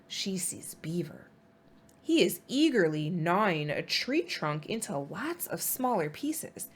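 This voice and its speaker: A woman reading a children's storybook aloud in an expressive, animated and deliberate storytime style. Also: lowish voice, slightly rough. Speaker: storybook reader.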